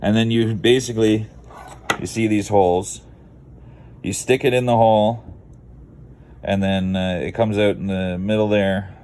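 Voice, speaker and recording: A man's voice: lowish voice, steady tone, measured pace, long pauses, darkish tone, slight background noise. A Canadian man giving a casual, rambling how-to vlog in a laid-back, blunt, digressive way. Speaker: car video creator.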